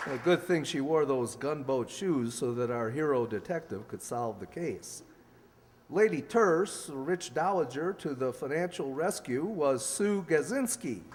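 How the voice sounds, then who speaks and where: An actor playing a genial host and announcer of an old-time sponsored radio show, formal yet chummy. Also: male voice, a little high, lively intonation, unhurried, crowd noise. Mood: genial, showy. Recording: echoey hall, faint noise.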